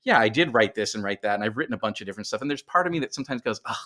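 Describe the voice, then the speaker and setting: A man's voice: lowish voice, lively intonation, very fast, sharp tone. A middle-aged man speaking casually as a guest in a podcast interview, with self-deprecating humour. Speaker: guest, writer.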